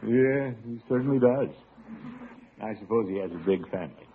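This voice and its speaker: An actor playing a pompous, doting middle-aged uncle in an old radio sitcom, chuckling and blustering along in a self-satisfied way. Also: male voice, medium pitch, moderate pace, natural voice. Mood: uneasy. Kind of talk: 1940s-50s radio sitcom acting. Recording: tinny, hissy old radio recording.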